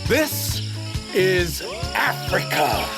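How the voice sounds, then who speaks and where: An awestruck American tourist in an audio drama. He speaks very slowly with big swings in pitch, savoring each phrase over clear music. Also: sing-song, full character voice. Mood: awestruck, contented. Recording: music and nature sounds behind.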